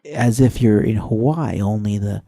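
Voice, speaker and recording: A man playing a salty old sea captain, telling a rambling, halting seafaring tale with dramatic pauses in an old-time radio adventure style. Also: on the low side, vocal fry, warm tone, dry recording, studio quality. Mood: mysterious, wondering. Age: older. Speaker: storyteller. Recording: voice over easy listening music. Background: easy listening soundtrack.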